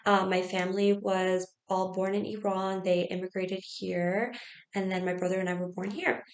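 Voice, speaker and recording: Young woman tutor, Farsi first language, earnest and chatty with lots of "um"s, mid-pitched, recorded in a roomy small classroom. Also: flat delivery, moderate pace. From United States. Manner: earnest, chatty, many "um" fillers. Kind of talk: personal introduction to camera.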